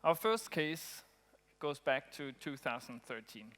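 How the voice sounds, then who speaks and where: Man speaking in an echoing hall. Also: medium pitch. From Denmark. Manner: relaxed, with frequent ums and pauses. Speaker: conference speaker. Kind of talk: conference talk. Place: conference hall. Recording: long hall reverb.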